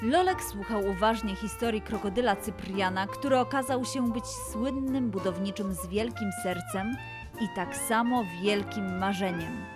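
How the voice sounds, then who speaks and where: Woman, children's story narrator, expressive delivery, medium pitch, clear musical backing. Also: normal speed, over music. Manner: expressive, dramatic.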